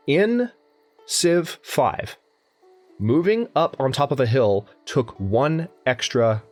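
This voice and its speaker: A young man with a fairly low voice talks through a strategy game as he plays it. He thinks aloud, backtracks and corrects himself in a loose, chatty way. Faint game music plays behind him. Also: darkish tone. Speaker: let's play host. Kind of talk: casual gameplay commentary.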